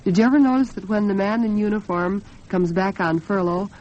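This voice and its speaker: A woman's voice: medium pitch, steady tone, fairly quick, a little mellow. An American woman hosting a 1940s radio talk program, chatting in an earnest, homely way. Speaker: radio host. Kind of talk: 1940s radio talk with scripted sponsor chat. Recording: dull, band-limited 1940s radio recording.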